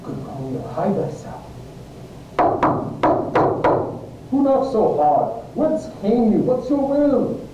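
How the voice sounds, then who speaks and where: A man's voice: a little high, animated, a little slow. A man acting a stern, counselling friar, exasperated yet caring, in a Shakespearean verse reading. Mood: urgent. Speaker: amateur actor, English teacher. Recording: very echoey room, muffled, distant, faint hiss.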